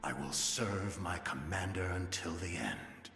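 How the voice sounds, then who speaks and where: An actor playing a grim, scornful ancient warrior spirit in a video game, with a slow, contemptuous delivery. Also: male voice, sits low, exaggerated voice. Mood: grim, menacing. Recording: long echoing reverb under loud music.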